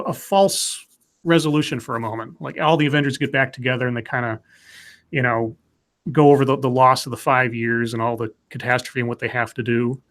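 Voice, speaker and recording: A man co-hosting a live comics chat show, talking loosely with lots of restarts and fillers. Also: medium pitch. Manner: rambling, animated, many restarts and fillers. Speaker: podcast co-host. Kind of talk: casual live-stream chat.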